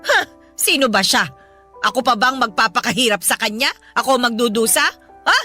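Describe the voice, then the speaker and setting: Proud filipino mom, high pitched n bright, effusive radio drama style, music behind. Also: female voice, expressive, moderate pace. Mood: bitter, hurt. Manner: effusive, motherly, plain-spoken. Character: proud, loving Filipino mother, hardworking pedicab driver. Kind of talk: emotional radio drama acting. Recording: music under the dialogue.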